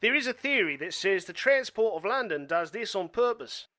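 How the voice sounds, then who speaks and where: Man as blunt conspiracy-minded survivalist, high voice, bright forceful tone, dry recording, radio drama. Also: lively intonation, moderate pace, dry recording. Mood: conspiratorial. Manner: blunt, assertive, know-it-all. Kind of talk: amateur radio play acting.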